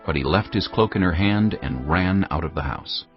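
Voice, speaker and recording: A man giving solemn, measured scripted narration for a dramatized audio Bible. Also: deep voice. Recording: muffled audio with music underneath. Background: background music.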